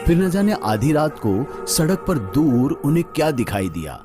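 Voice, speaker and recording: A man's voice: on the high side, very animated, normal speed. A man narrating a scripted horror story dramatically, drawing out phrases for suspense, with music behind him.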